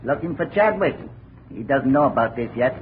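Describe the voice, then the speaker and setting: A man's voice: medium pitch, expressive, normal speed, lightly acted. An actor playing a shady, self-assured private investigator in a 1950s radio detective drama, sly and clipped as he bargains. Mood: cool bargaining. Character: shady male private investigator, coolly baiting a blackmail deal. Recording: narrow, tinny, hissy old radio recording.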